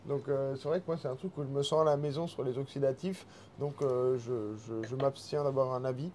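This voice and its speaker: A man's voice: mid-range voice, fairly even intonation, a crowd in the background. A man from Alsace with an Alsatian accent, chatting casually at a wine tasting, joking and often repeating his words. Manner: quick, stammering repetitions, joking. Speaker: winemaker guest.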